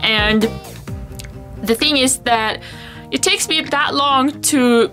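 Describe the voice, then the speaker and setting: A woman's voice: high-pitched, slow, pushed and bright, faint music behind. A young woman whose first language is Swedish, chatting to camera in a rambling, self-conscious way with frequent small laughs. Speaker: planner vlogger. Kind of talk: casual talk to camera.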